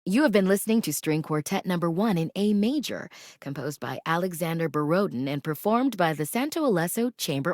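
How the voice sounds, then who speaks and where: An actress playing a genteel, cultured classical radio DJ in a video game, announcing in a measured, precise, formal way. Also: female voice, on the low side, a little mellow, no echo, clean studio sound. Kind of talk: scripted public radio announcing.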